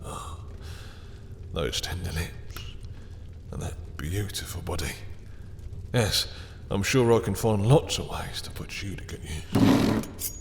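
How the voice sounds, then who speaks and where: A man voicing a possessive, sadistic male vampire lord in an audio roleplay, smooth and taunting, with soft chuckles and low whispers. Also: slow pace, pauses often, in a large hall, a little hiss or hum, heavily acted. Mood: seductive.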